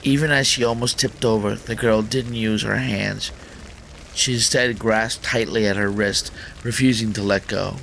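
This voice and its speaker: A man's voice: lowish voice, fairly even intonation, moderate pace, creaky, a bit bright, rough recording. A man hosting a gaming channel, reading a visual novel aloud as dramatic narration, with faint noise in the recording.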